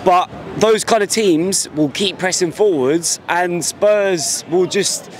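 Passionate young football fan. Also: male voice, sits high, takes it slowly, slight background noise. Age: 20s. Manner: passionate, emphatic, repeats words. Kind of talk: street vox pop interview. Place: outside a busy football stadium. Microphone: handheld mic held by interviewer.

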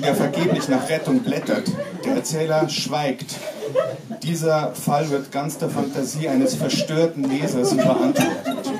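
A man in his forties gives a live reading of a satirical text with mock-solemn, deadpan theatricality. His voice sits slightly high. It is picked up from some distance in an echoey small room. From Germany. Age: 40s. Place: small pub-like room with audience, indoors. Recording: roomy, distant mic, clearly noisy background.

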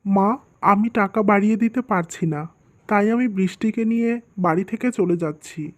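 A woman's voice: mid-range voice, normal speed, lightly acted. Woman voicing an earnest young husband in a cartoon. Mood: resolved. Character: earnest, worried young husband and dutiful son. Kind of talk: scripted cartoon dialogue.

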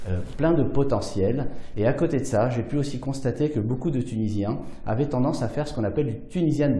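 A French businessman in his forties speaks earnestly to the camera, pausing often with fillers as he makes his case. His voice is dark and mellow, of middling pitch. The small room around him gives a noticeable echo. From France. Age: 40s. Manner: earnest, persuasive, many fillers, gestures with hands. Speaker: business leader. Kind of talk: direct-to-camera video address. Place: small indoor studio against plain backdrop.

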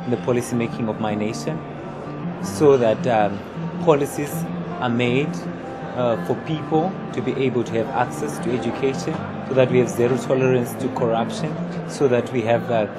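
A man speaks earnestly as a youth activist in an interview, pausing between each point he makes. His voice sits at a middle pitch. Loud music plays behind him. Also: fairly even intonation. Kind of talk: interview testimony.

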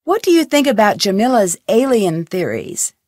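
A woman's voice: mid-range voice, sing-song, moderate pace, darkish tone, no room sound. A woman voicing a curious, probing young woman detective in a video game, asking question after question with a dry wit. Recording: dry studio recording.

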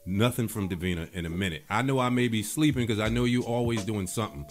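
A man with a fairly low voice hosts a radio interview. He speaks in a casual, colloquial way and affirms his guest readily. He is on a studio microphone, with music clearly playing underneath. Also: quick pace. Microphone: studio microphone with pop filter.

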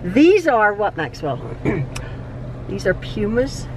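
A woman's voice: medium pitch, very animated, slow, slight background noise. A middle-aged woman chatting casually to a phone camera in a parked car, rambling with frequent restarts and laughs. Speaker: vlogger and mother. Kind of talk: casual chat. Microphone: phone held at arm's length.

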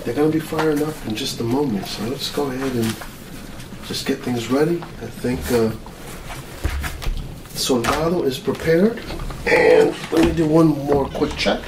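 A man's voice: mid-range voice, normal speed, natural voice. A middle-aged man playing an earnest, easily flustered military mad scientist in an ASMR roleplay, rambling, muttering and repeating his words. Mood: distracted, busy. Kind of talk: ASMR roleplay, improvised monologue. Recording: roomy, distant mic with clear noise.

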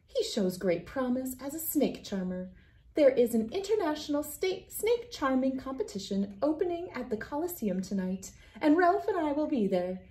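A woman voicing a proud, bossy, energetic aunt from a children's storybook, with an emphatic way of talking. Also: high voice, moderate pace, voice pushed up. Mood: brisk, insistent.

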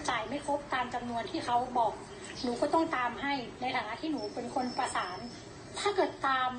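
A woman explaining and defending herself at a press briefing. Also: high-pitched. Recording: roomy echo, clear background noise.

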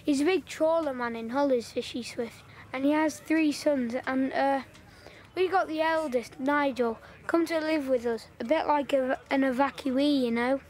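A cheeky country child played by a woman in a wartime radio play. The voice is high, with a Northern English accent, and chats along in plain, colloquial speech. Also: moderate pace, darkish tone, a little hiss or hum, lightly acted. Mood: chatty. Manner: chatty, colloquial, matter-of-fact. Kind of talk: audio drama dialogue.

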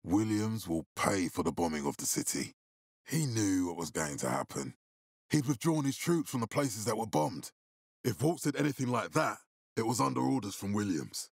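Husky, commanding militia leader. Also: male voice, on the low side, big pitch swings, normal speed, no room sound, clean studio sound, heavily acted. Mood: controlled, serious. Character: commanding militia leader, grave adult man, calculating and profane. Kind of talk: video game character dialogue.

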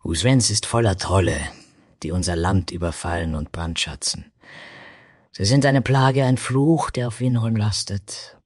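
Man voicing a husky goblin barbarian woman. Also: lowish voice, measured pace, no room sound, clean studio sound. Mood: scornful. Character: female goblin barbarian, blunt, resolute, curious axe fighter. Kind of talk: scripted fantasy audio drama acting.

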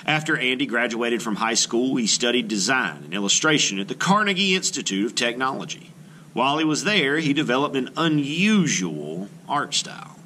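Male art teacher for a kids' read-aloud: mid-range pitch, very animated and emphatic, some noise behind the voice. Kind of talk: children's book read-aloud.

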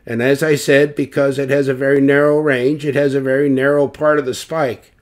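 A man's voice: mid-range voice, level tone, fairly quick. A man giving an informal technical explanation as an expert, posing questions and then answering them. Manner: explanatory, self-correcting, rhetorical questions. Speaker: loudspeaker designer explaining measurements.

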